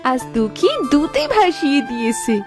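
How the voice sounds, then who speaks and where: A woman acts a poor village mother in a cartoon, careworn and cautious. Her voice is fairly high and very animated, over faint music. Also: normal speed. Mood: amazed. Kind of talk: cartoon dialogue.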